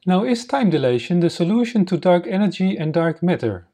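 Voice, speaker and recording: A man whose native language is Dutch narrates a slideshow lesson, explaining formulas slowly and step by step. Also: high-pitched, sing-song, mellow. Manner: slow, methodical, explains step by step. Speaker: science channel host.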